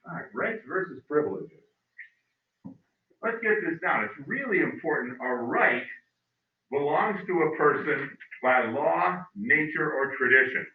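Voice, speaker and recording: A man teaching a high school history class, talking loudly and joking with his students through a mask. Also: lively intonation, a little slow. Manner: loud, joking, teasing students. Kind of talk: classroom lecture. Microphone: masked, recorded from across a classroom. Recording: echoey room, far from the mic, phone-like sound.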